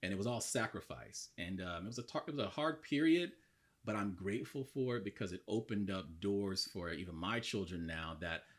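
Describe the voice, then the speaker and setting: A man in his thirties from the southern United States, a podcast guest telling his life story in an earnest, reflective way, a little hoarse today. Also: fairly low pitch, animated, a little fast. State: slightly hoarse. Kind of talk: casual interview talk.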